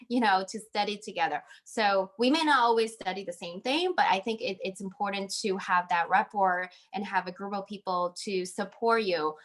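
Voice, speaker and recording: A woman speaks as an interview guest on a video call. Her voice sits at a medium pitch, and she gives advice in a conversational way. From Taiwan. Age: 30s. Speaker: interview guest, MBA graduate. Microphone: video call microphone.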